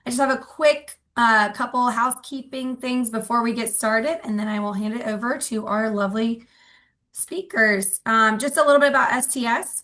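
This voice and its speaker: A woman's voice: fairly high pitch, fairly bright tone. A woman giving housekeeping announcements before a webinar, friendly, with frequent ums. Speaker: association director introducing a webinar.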